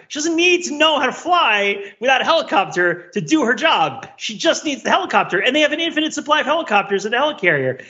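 A man's voice: very high voice, pushed and bright, dull audio. A man co-hosting a podcast, riffing in rambling, comedic asides about film in a casual chat. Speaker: podcast co-host.

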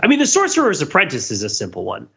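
Bright-voiced man riffing on a podcast. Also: on the high side, wildly swooping pitch, quick pace, creaky, husky. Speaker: podcast panelist. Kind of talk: casual, joking analytical chat. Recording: dull, slightly lo-fi audio.

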